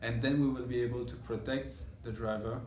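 A man's voice: medium pitch, fairly even intonation, fairly quick, darkish tone. A man in his thirties giving an informal technical explanation as an engineer, hesitant and searching for words. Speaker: audio engineer explaining a measurement process. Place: indoor listening room with loudspeakers. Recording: roomy echo with background room noise.

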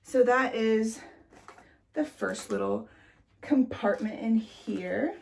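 A woman's voice: a little high, wildly swooping pitch, takes it slowly, creaky, slight background noise. A young woman in her twenties, congested and chatty, talking to camera in a nursery room. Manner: chatty, with many "like"s and light laughs. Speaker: vlogger. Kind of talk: casual chat to camera.

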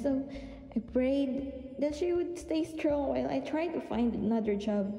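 Girl acting a shy sorry assistant, mellow voice, echoey with music under it, radio drama. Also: high voice, creaky. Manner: hesitant, apologetic, humble. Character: meek, apologetic young former personal assistant, timid and deferential. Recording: echoey reverberant room, faint music behind.

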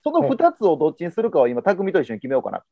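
A man with a Kansai accent hosting a casual video-call interview, summing up ideas in a relaxed way and gesturing as he talks. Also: a little high, racing, creaky, no echo, studio quality. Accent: Kansai Japanese. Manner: relaxed, summarizing, gestures while talking. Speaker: host. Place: indoors at home.

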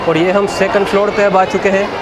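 A man's voice: sits high, flat delivery, brisk, a loud crowd. A young man chatting casually to camera as a vlogger in a shopping mall. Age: young adult. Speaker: vlogger, host. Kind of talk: casual vlog chat to camera. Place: large crowded shopping mall, indoors. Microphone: handheld camera at arm's length. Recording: loud, busy crowded mall noise.

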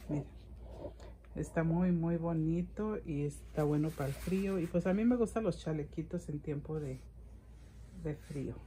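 Low, slow-talking middle-aged woman. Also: a little mellow, a little hiss or hum. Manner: rambling, repeats words and phrases. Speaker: vlogger. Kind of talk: casual gift-haul vlog chat. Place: indoors in a home room.

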